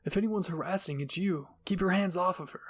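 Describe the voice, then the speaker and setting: A man acts out a hot-headed anime teenage boy, defiant and protective. The voice is pushed high with a husky edge and snaps out confrontationally. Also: fairly quick. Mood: furious. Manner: confrontational, insulting, forceful. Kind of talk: anime fan-fiction audio reading.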